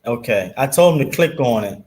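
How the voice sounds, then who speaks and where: A man's voice: medium pitch, lively intonation, fairly quick, darkish tone. A man from Baltimore with a Baltimore accent, a guest on a casual livestream who talks loosely and keeps saying yes. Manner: affirming, loose, frequent "yes" interjections.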